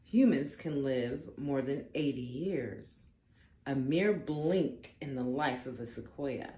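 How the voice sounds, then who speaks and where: A woman reads a children's story aloud in a low, soft-edged voice. Her delivery is dramatic and deliberate, made for young listeners. The room adds a noticeable echo around her. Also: lively intonation. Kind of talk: expressive read-aloud of a picture book.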